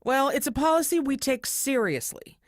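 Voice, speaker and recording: A woman's voice: high voice, animated, moderate pace, no echo, studio quality. An actress playing a stern, officious female boss with a formal, reproving manner in an audio drama.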